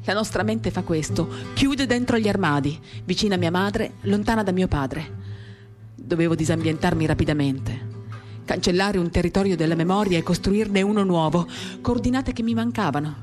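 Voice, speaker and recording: A woman giving a dramatic reading of a literary first-person monologue, measured and expressive, in short phrases. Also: fairly low pitch. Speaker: reader of a novel for radio theatre. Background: acoustic guitar.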